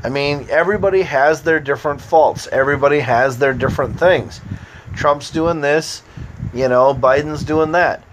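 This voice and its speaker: A man's voice: a little high, moderate pace, a little hiss or hum, poor audio. An American man hosting his own podcast, talking in a loose, meandering way with lots of fillers and restarts. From United States. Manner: meandering, easygoing, frequent fillers. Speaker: podcast host. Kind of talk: casual rambling monologue.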